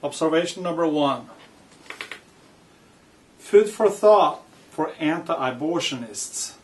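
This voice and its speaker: Male author-reader, fairly high register, emphatic and measured delivery with spoken asides, slightly distant microphone. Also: expressive, slight background noise. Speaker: author reading own book aloud with commentary. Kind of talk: reading aloud with spoken commentary.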